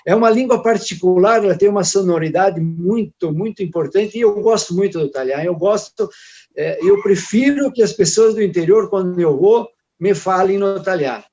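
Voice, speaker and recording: A man with a southern Brazilian accent, talking casually and haltingly as a guest on a video call panel. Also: high-pitched, darkish tone. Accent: Rio Grande do Sul Portuguese. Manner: hesitant, many restarts and fillers. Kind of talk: casual conversational talk. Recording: dull lo-fi video call audio.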